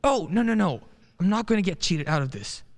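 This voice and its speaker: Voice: medium pitch, expressive, a little fast, close to an everyday voice. An actor playing a friendly young male lawyer in a radio play, breezy and wry. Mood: wry, amused. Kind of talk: stage-style radio play acting.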